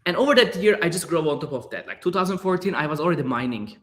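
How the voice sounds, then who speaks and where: A man's voice: fairly high pitch, lively intonation, fast, fairly bright tone. A man in his thirties from Turkey with a Turkish accent, answering interview questions in a searching way, with frequent fillers and repeated words. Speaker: guest artist.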